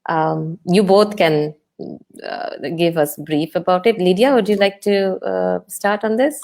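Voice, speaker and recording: A woman's voice: on the low side, unhurried, vocal fry, darkish tone. A woman, moderating an online interview in a conversational way. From Bangladesh. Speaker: moderator, host.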